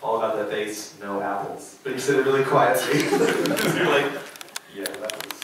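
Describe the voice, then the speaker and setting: A man's voice: fairly low pitch, moderate pace, noisy background. A young man chatting loosely on a convention panel, laughing often and rambling. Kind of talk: casual panel chat. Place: convention hall. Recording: echoey hall, far from the mic.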